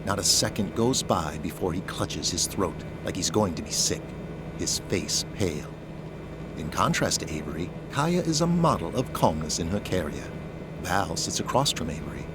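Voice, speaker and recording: A man's voice: deep voice, big pitch swings, moderate pace, heavy background noise. A man giving dramatic scripted narration as the narrator of an audio drama. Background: music.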